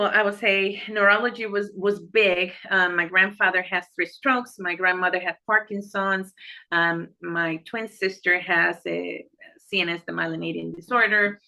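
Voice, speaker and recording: Bright, forceful Puerto Rican woman, native Spanish speaker. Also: lowish voice. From Puerto Rico. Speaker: interview guest, neurologist and medical director. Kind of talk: conversational interview answers about life and work.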